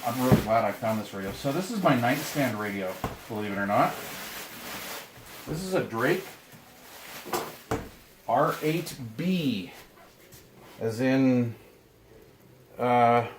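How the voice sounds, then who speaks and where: A man giving casual, rambling, laid-back unboxing commentary as a hobbyist host, muttering to himself as he goes. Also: mid-range voice. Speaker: video host, hobbyist radio collector. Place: indoor room, handling items from boxes. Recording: echoey room with clear noise and clicks.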